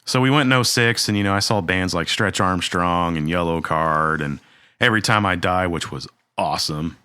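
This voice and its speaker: A young man co-hosting a podcast, telling stories in a relaxed way with lots of fillers. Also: low voice, moderate pace, clear, resonant tone, no echo. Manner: relaxed storytelling, many fillers. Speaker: podcast co-host. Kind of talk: casual chat.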